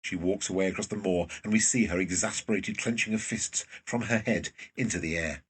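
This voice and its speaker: Guy narrating an audio play, really raspy lowish voice, sounds a bit far from the mic. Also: fairly quick. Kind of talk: scripted present-tense drama narration.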